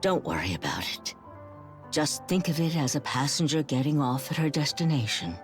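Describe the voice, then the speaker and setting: An actress playing a frail, dying young woman in a video game, resigned and stubborn, with wistful, halting delivery. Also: sits low, big pitch swings, soft background music. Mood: resigned, defiant. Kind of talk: dramatic video game cutscene acting.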